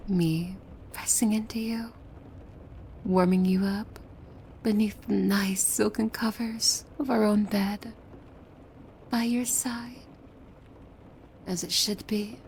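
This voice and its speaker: A woman playing a possessive demon maid in an ASMR roleplay, devoted and softly menacing, with hushed formal speech that often slips into breathy whispers. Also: mid-range voice, fairly even intonation, slow pace, long pauses, slight background noise, low-quality recording. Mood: possessive, intimate.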